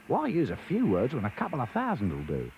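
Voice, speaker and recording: A man's voice: medium pitch, wildly swooping pitch, fast talker, darkish tone, dull audio, slight background noise, pitched above the performer's own voice. A man voicing a bouncy, cheery male kangaroo toy with an Australian accent, hearty and exclamatory. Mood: chirpy. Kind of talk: storybook character voice.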